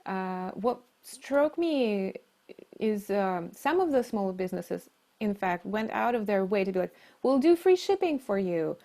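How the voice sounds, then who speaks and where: A woman's voice: medium pitch, animated, measured pace, mellow. A woman from Siberia with a Russian accent, answering as an interview guest in a conversational style. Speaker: interview guest, marketing leader and coach.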